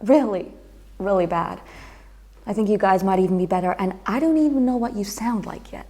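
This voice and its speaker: Wry sitcom studio engineer female actor, mid pitch, chatty sarcasm, teasing. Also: moderate pace, darkish tone, natural voice. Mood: dry. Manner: sarcastic, conversational.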